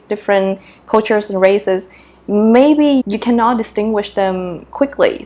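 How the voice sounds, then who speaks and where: Female vlogger, Vietnamese accent, mid-pitched and slow-paced, casual question-and-answer chat, thoughtful and halting. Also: darkish tone. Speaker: video creator answering questions.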